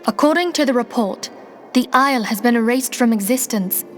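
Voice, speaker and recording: A woman playing a composed, dignified noblewoman leader in a fantasy game, formal and gravely earnest. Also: on the high side, moderate pace, faint music behind, lightly acted. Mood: troubled. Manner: formal, poised, careful phrasing. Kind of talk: fantasy game cutscene acting.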